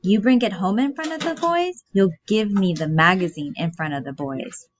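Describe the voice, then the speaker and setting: A woman's voice: mid-range voice, lively intonation, moderate pace, a little hiss or hum, natural voice. Lady reading a mean bossy old grandma in a play, kinda muffled recording. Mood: cold, commanding. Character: stern, bitter, domineering old German-born grandmother. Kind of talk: reading a stage play aloud. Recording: dull, muffled lo-fi audio.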